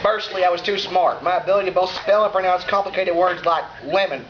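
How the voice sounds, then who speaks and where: High-voiced young Texan man in a hall. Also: fairly even intonation, fast talker, music in the background. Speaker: club speaker. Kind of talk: comedic prepared speech to an audience. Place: large hall with an audience. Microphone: camera from the room. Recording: roomy, muffled, noisy hall camera recording.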